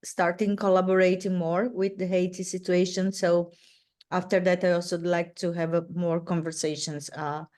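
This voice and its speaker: A woman's voice: on the low side, little pitch movement, normal speed, a little mellow. A woman with a Brazilian accent, an external relations director, asking a long question on a video call, polite and searching for words.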